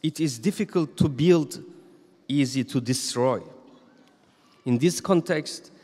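A man in his thirties giving a Friday sermon as imam, reading from notes in a measured, solemn way at a stand microphone in a large mosque hall. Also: mid-range voice, a little mellow, breathy edge. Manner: measured, solemn reading with slow emphatic phrases. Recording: long reverb of a mosque hall.

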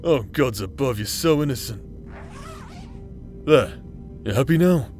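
A man performing a gruff, sarcastic bastard warrior from a fantasy audio drama, laid up with injuries. He speaks slowly in a mid-pitched voice and swears freely, prickly with everyone. Faint music plays underneath. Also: lively intonation, darkish tone, close to an everyday voice. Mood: teasing. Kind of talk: character roleplay audio drama.

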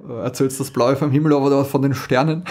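A man's voice: medium pitch, fast talker. A man, co-hosting a podcast in casual chat, laughing often as he recounts a story. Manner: lively, laughs often, restarts sentences. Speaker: podcast co-host. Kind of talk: casual chat about audio dramas.

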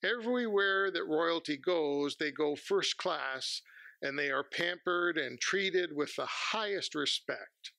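A man preaches from a pulpit in a slightly high, gravelly voice. His tone is bright and forceful, and the sound is dry and close on a headset mic. He speaks in a measured, earnest way, pausing between phrases. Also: no room sound. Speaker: pastor. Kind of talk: sermon. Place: church sanctuary, at a pulpit.

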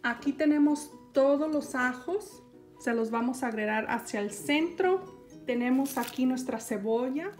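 A high-pitched woman talks viewers through a recipe in a calm, step-by-step way. Clear background music plays under her voice, with dishes and pans clinking in the kitchen. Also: unhurried. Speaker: cooking show host. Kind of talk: home cooking demonstration.

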